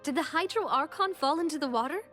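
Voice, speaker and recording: An earnest, bright-voiced young sleuth. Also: female voice, sits high, quick pace, faint music behind. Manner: earnest and reasoning aloud. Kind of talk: video game dialogue.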